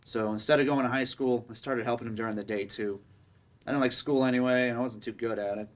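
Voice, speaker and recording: A man's voice: mid-range voice, steady tone, fast, natural voice. A man playing an earnest young working-class father-to-be, speaking plainly and modestly in a staged play reading. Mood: reflective. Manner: plain, unassuming.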